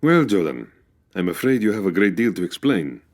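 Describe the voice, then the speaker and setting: Low, stern, officious police captain. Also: male voice, sing-song, moderate pace. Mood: exasperated. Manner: formal, precise, officious. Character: stern, formal, exasperated Cairo police captain. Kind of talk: comedy radio drama acting.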